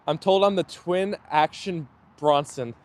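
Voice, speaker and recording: A man's voice: fairly high pitch, unhurried. A man hosting a casual live stream outdoors, chatting in a friendly way and answering viewer comments.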